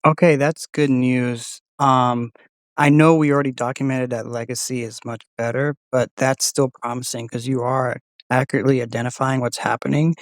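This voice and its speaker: A man hosting a software demo in a casual, conversational way. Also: medium pitch, little pitch movement, normal speed, no room sound. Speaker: host testing an AI voice assistant.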